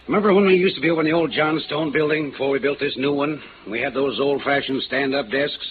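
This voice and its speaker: An old, noisy radio recording, thin as a phone line, carries a male actor as a troubled older American executive. He speaks gravely and haltingly, set in his ways, in 1950s drama style. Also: medium pitch, close to an everyday voice. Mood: wistful, evasive.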